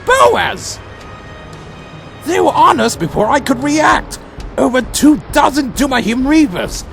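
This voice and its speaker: A man plays a defiant vampire warrior of an audio drama, gravelly and high-strung in a raised voice. He delivers his tale slowly and gravely, with theatrical weight, over music. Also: sing-song, fairly bright tone, heavily acted. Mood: urgent. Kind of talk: dramatic first-person narration and acted dialogue.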